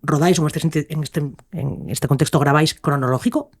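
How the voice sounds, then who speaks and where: A man's voice: on the high side, fairly quick, vocal fry. A man with a Spanish accent from Spain, chatting casually as a co-interviewer. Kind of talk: casual conversation.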